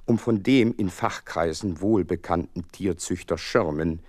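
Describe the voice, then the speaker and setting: An actor playing an earnest gentleman doctor who recounts a case in florid, wry storytelling in an audio drama. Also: low voice, a little slow, no echo, clean studio sound. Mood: florid narrating. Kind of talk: dramatised first-person narration, German audio drama.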